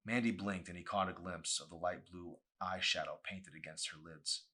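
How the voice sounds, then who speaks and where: A man with a low, bright voice reads fiction aloud. His delivery is animated and emphatic, like an author presenting his own story. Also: normal speed, husky. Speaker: author reading own novel. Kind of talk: expressive audiobook narration.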